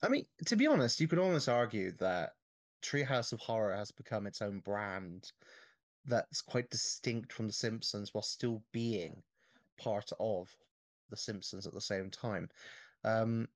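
A man chatting casually on a podcast, with hesitant, halting phrasing. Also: lowish voice, lively intonation, dry recording. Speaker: podcast speaker.

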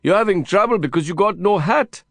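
A man voicing an exuberant, foul-mouthed, friendly Indian man with an Indian accent and excitable, slangy, cajoling speech in an audiobook. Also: high voice, a little fast, dry recording, pitched above the performer's own voice.

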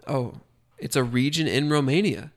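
A man's voice: mid-range voice, normal speed. A young man co-hosting a casual podcast, rambling and joking while congested from being sick. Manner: rambling, jokey, self-deprecating. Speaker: podcast co-host. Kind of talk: casual chat.

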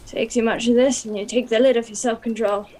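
A woman's voice: fairly high pitch, fairly even intonation, brisk, warm tone, close to an everyday voice. A woman playing an eccentric old grandmother in a stage duologue, delivering dry, sardonic quips. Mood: wry.

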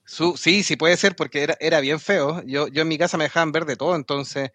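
A man chatting on an anime podcast, his voice sitting a bit high for a man. He rambles, laughs readily and restarts his sentences, recorded close with no room sound. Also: fairly even intonation, brisk, a bit bright, no room sound. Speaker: podcast co-host. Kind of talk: casual anime talk with co-hosts.

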